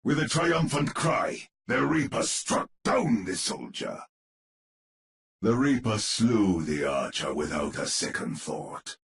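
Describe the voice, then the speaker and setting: An actor playing a grave storyteller, narrating a gothic horror tale in a theatrical, measured, ominous manner for a game. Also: male voice, fairly low pitch, sing-song, creaky, a bit bright, heavily acted. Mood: ominous, dramatic. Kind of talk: dramatic scripted storybook narration.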